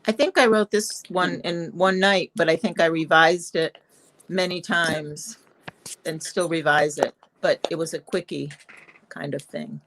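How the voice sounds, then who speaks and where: A woman's voice: low voice, steady tone, unhurried, fairly bright tone, poor audio. A woman playwright chatting casually on a video call, giving advice in an offhand, tentative way. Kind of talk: casual chat on a panel.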